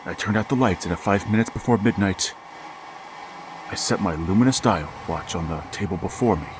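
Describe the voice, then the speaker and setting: A man's voice: low-pitched, a little fast, background noise, natural voice. An actor playing a grieving, middle-aged father who narrates and pleads desperately to reach his dead son, in a vintage-style radio drama. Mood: hushed. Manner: anguished, pleading, rising to frantic outbursts. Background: music.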